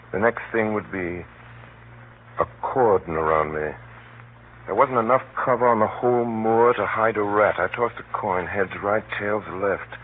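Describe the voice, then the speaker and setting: An actor playing a hunted man who recounts his flight gravely and tensely, with dramatic measured pauses, in a 1930s radio drama. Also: deep voice, lightly acted. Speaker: narrator and lead actor. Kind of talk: 1930s radio drama first-person narration. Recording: scratchy, muffled 1930s radio broadcast.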